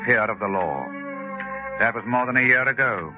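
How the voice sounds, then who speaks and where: An actor playing a cold, urbane doctor obsessed with murder, in a measured, formal 1940s radio suspense style. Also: male voice, medium pitch, lively intonation, bright, forceful tone. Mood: measured narrating. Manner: measured, erudite, formal phrasing. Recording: vintage radio broadcast with music behind.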